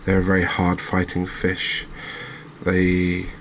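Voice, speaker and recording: A man giving soft-spoken ASMR commentary over a game, relaxed and musing, with unhurried pauses. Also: low-pitched, slight background noise. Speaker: gaming video host.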